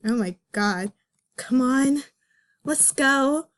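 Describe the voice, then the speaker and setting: A woman's voice: high voice, extremely slow. A woman giving casual, playful commentary as host of a comic dramatic reading, with sarcastic, self-mocking asides.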